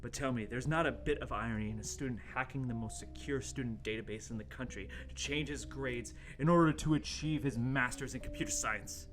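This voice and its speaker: Guy reading a horror radio drama script, theatrical fake-ominous narrator thing, loud music behind. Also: mid-range voice, fairly quick, few pauses. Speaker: host and radio play narrator.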